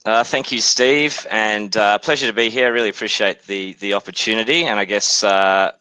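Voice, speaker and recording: Bright, crisp Australian man presenting. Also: on the low side, steady tone, no echo, low-quality recording. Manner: polite, measured, hesitant with frequent filler. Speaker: software company founder presenting. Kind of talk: webinar product presentation.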